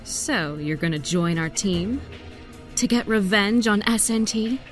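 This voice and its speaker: A woman's voice: medium pitch, animated, measured pace, faint music behind, lightly acted. A woman voicing a sly animal villainess in a cartoon comic dub, teasing and sassy. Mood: impatient.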